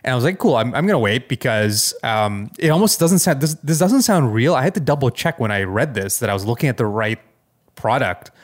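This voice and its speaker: Mid-pitched man in halting casual chat. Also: lively intonation. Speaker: podcast co-host.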